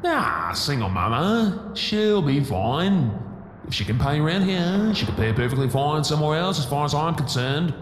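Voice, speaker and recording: A man's voice: fairly high pitch, sing-song, moderate pace. A man playing a sleazy, greedy landlord in an audio roleplay, slangy and oily as he wheedles and hectors. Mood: pushy, chummy. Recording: echoey hall with faint hiss.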